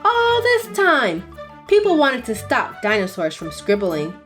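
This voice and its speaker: Brief: young woman, slow expressive storybook reader, high lively voice, soft music behind. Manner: expressive, sing-song. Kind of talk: read-aloud storytelling for children.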